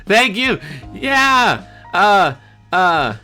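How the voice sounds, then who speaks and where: A man chatting casually on a live stream in an animated, hesitant way, reading out and answering viewer comments. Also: high voice, drawn-out words. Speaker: livestreamer and amateur voice actor. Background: piano background music.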